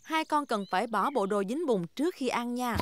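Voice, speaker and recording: A woman voicing a gentle, playful mother pig in a preschool cartoon, patient and teasing. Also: a little high, expressive, normal speed, natural voice. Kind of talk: Vietnamese dub of a preschool cartoon.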